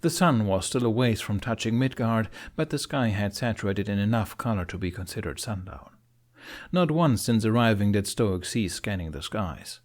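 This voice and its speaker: A man's voice: lowish voice, normal speed. A man reading scripted fiction as an audiobook narrator.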